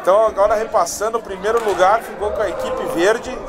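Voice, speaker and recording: A man's voice: sits high, steady tone, fast, a loud crowd. A man with a Brazilian accent explaining a call and recapping the final standings. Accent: Brazilian Portuguese. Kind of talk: casual explanation.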